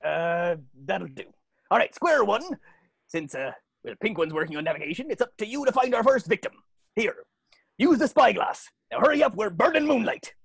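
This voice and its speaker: An actor voicing a gruff, bossy male ghost pirate captain with a raspy, blustering delivery, in a cartoon script reading. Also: mid-range voice, animated, normal speed, no echo. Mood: grudging. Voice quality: raspy, gravelly. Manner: theatrical, blustering, swooping.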